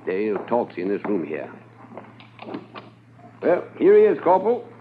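An actor playing a gruff, casual police officer in a 1950s radio drama. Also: male voice, fairly low pitch, wildly swooping pitch, moderate pace. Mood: offhand. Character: gruff, casual male American police officer escorting prisoner. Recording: tinny, noisy 1950s radio transcription.

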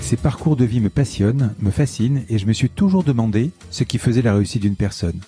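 A man's voice: mid-range voice, expressive, fairly quick, over music. A man hosting an interview podcast, presenting and putting questions to a guest. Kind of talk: scripted podcast intro, then conversational interview.

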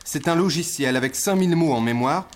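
A man's voice: a little high, brisk. A man narrating an archive TV documentary segment, explaining things in a slightly wry tone. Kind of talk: scripted narration. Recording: archive TV segment, faint background noise.

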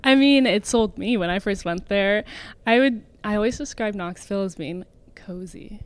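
A young woman in her twenties talks easily in a relaxed interview, sprinkling her speech with little fillers and light laughs. Her voice is clean and smooth, pitched in the middle for a woman. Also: moderate pace, dry recording. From Canada. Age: 20s. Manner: chatty, filler-heavy, laughs often. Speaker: guest, elite swimmer. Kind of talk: casual interview talk.

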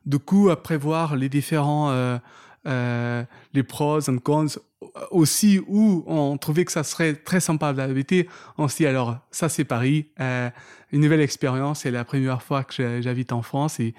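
A man's voice: a little high, normal speed, a little mellow, clean tone. A man from Portugal with a Portuguese accent and Portuguese as his first language, a guest on a podcast, talking casually with many fillers and restarts. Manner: hesitant, many fillers and restarts, laughs easily. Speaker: podcast guest, startup founder. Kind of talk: casual interview.

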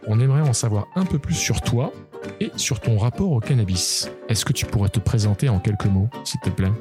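A man hosting a podcast interview, asking calm, friendly questions. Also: on the low side, a little fast, music in the background. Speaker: podcast host.

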